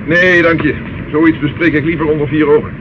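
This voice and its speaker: A man's voice: fairly high pitch, steady tone, brisk, background noise, close to an everyday voice. An actor as a determined policeman, muffled old recording. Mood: firm, dry. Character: brisk, determined male police investigator. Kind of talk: radio play acting.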